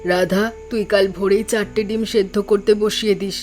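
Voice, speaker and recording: A woman voicing a practical, caring village mother in a cartoon story, with a measured manner. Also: mid-range voice, little pitch movement, a little mellow, faint music behind, lightly acted.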